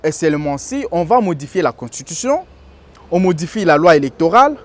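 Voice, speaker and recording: A man's voice: fairly high pitch, big pitch swings, fairly quick. A man giving explanatory commentary on law, in a didactic way with frequent hesitations. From DR Congo. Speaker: political commentator.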